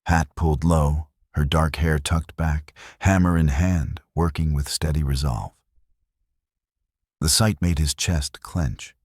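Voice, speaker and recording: A man reading scripted western romance narration in a measured, dramatic storytelling manner, with a gravelly voice. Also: a bass voice, fairly even intonation, a little mellow, no echo, clean studio sound. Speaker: audiobook narrator.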